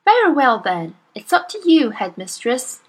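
A woman voicing a gentle young female schoolteacher who pleads earnestly in a high voice. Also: big pitch swings, measured pace, husky, heavily acted. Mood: earnest pleading.

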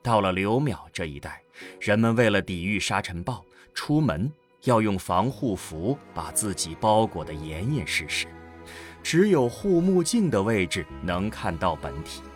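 A man's voice: on the low side, big pitch swings, unhurried. A man gives dramatic scripted narration for a science fiction audio drama, in a storytelling style. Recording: background music under the voice. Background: music.

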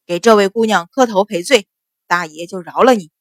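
A woman voicing a cool, cocky male fighter in an audiobook, speaking in a cold, mocking, unhurried way. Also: mid-range voice, expressive, a bit bright, dry recording, clean studio sound. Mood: cold threat. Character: cool, cocky male fighter guarding his young mistress.

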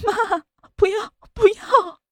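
A woman's voice: very high-pitched, fairly even intonation, slow pace, fairly bright tone, dry recording, voice pushed up, heavily acted. A woman voicing a sobbing, begging young daughter. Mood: tearful pleading. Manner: pleading, sobbing. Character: tearful young woman begging her mother.

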